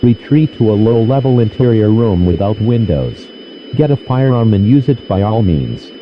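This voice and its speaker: A fairly low male voice reads a formal emergency broadcast script. The delivery is stiff and synthetic, like an automated announcer. The radio sound is dull and lo-fi, with some noise behind it. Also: sing-song, normal speed.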